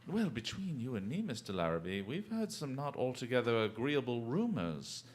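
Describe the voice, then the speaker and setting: An actor playing a shrewd, commanding detective in a radio drama, speaking formally and deliberately with dry, theatrical mockery. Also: male voice, medium pitch, very animated, a little hiss or hum, lightly acted. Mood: musing, sly. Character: shrewd, commanding male detective, coolly taunting and unflappable.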